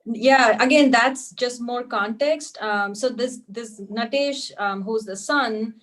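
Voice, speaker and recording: A woman's voice: a little high, slow pace, fairly bright tone. A woman talking casually as a writer explaining a script in an online discussion. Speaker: amateur playwright explaining their script. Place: online video meeting.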